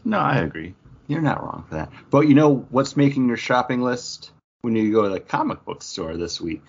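A man with a husky voice, chatting casually as a podcast co-host. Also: medium pitch, lively intonation, normal speed. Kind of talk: casual chat. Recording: dull lo-fi audio.

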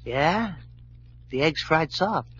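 Cocky, bright-voiced slangy stick-up gunman. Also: a little high, lively intonation, slow pace, a little hiss or hum. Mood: gruff, mocking. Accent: American. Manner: wisecracking tough-guy slang. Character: cocky, slangy hungry gunman, a small-time stick-up man. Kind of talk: old-time radio drama acting. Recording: dull old-time radio recording.

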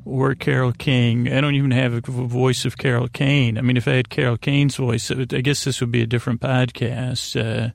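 A man hosting a bedtime podcast in a creaky voice, rambling in a gently silly, halting way that meanders and circles back. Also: medium pitch, little pitch movement, no room sound, clean studio sound. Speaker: podcast host. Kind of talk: rambling bedtime monologue to aid sleep.

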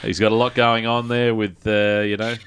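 A man's voice: on the low side, level tone, moderate pace, a bit bright, clear, resonant tone. A man hosting a casual gaming podcast, talking in an enthusiastic, emphatic way. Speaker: podcast host.

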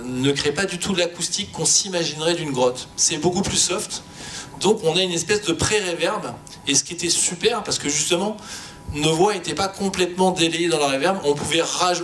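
A man talking informally on an expert panel, explaining how an audio fiction was produced. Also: a bit bright. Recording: background noise with low rumble.

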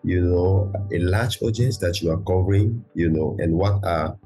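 A male podcast host with a low, dark and husky voice. He poses questions to his guest and leans on "you know" as a filler. Faint music runs underneath. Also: normal speed. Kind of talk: interview questions.

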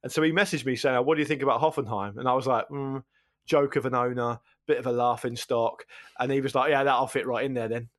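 A man's voice: mid-range voice, steady tone, fast talker, a bit bright. A British man chatting casually as a co-host of a football podcast.

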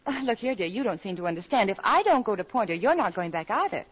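A woman's voice: mid-range voice, very animated, quick pace, slightly rough. An actress playing a poised, scheming widowed mother in a 1940s radio comedy, middle-aged, with a genteel, coaxing, fluttery manner. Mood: determined, coaxing. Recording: dull vintage 1940s radio broadcast.

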